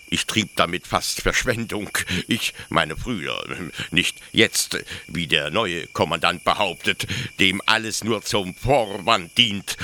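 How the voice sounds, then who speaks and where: A fanatical officer of a penal colony, acted by a man in a literary radio play. The voice is very sharp and tense and swings widely in pitch. He declaims emphatically and proudly at a slow pace. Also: slight background noise, heavily acted. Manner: emphatic, declamatory, swooping delivery. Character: fanatical male colonial officer, proud of his execution machine.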